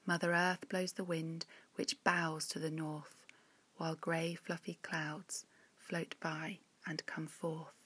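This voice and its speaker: Woman narrating a rhyming kids story, slow n gentle, lowish husky voice, expressive. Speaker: author reading her own story.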